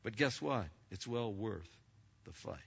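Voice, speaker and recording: A man's voice: on the low side, lively intonation, a little slow, gravelly, breathy edge. A middle-aged male pastor preaching a sermon to his congregation in a big, emphatic voice, with many stammered restarts. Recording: lo-fi recording.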